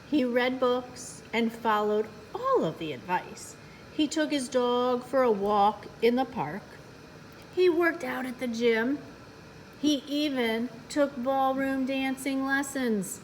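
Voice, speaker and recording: A woman with a slightly high voice reads a picture book to young children. She takes her time, telling the story playfully and turning to her listeners with chatty asides. There is faint noise behind her. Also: low-quality recording. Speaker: children's librarian, storytime host.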